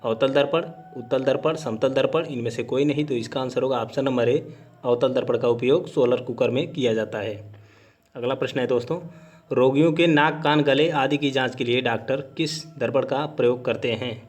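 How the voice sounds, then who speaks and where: A man's voice: mid-range voice, steady tone, moderate pace, darkish tone. A man teaching an exam-prep lesson, methodically reading out questions and then giving each answer.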